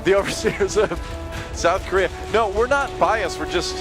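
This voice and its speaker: A man's voice: sits high, moderate pace, over music. A man casting an esports match with play-by-play commentary, cracking wry jokes between calls. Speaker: esports caster.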